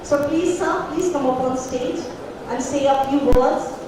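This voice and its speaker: A woman hosts a conference stage, polite and slightly halting. Her high voice rings through a large reverberant hall, heard from a distance with room noise. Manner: polite, with frequent hesitations. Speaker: conference emcee. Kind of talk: formal stage announcing. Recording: echoey hall, far mic, ambient noise.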